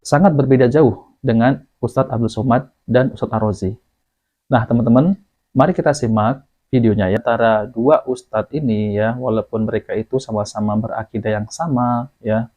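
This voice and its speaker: A man with an Indonesian accent hosts an informal religious commentary in a soft, dark voice. He circles back over his points and tags his phrases with small fillers. The recording is muffled and low in fidelity. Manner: repetitive, often tags phrases with "ya". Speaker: commentary host. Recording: dull lo-fi audio.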